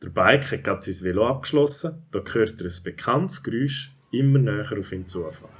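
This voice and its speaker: A man's voice: on the low side, expressive, fairly quick, husky. A man with a Swiss German accent narrating a scripted children's audio story.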